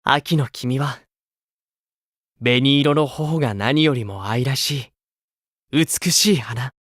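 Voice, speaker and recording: A man voicing a gentle, kindly older-brotherly idol with a romantic streak in an anime game, speaking softly. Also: mid-range voice, no echo, studio quality. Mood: romantic, poetic. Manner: soft, kindly, unhurried.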